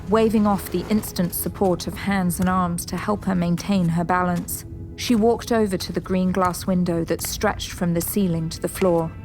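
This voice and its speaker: Low-voiced woman narrator over music. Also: a little mellow. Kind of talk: scripted dramatic story narration. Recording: prominent music bed behind.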